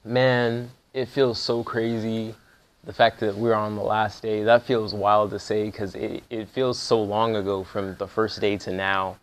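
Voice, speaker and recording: A young man, a music producer, talking casually to the camera at a production workshop, with loose slangy speech that heats up when he gets excited. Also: fairly low pitch, unhurried. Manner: laid-back slangy talk, gets fired up when excited. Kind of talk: casual vlog talk to camera.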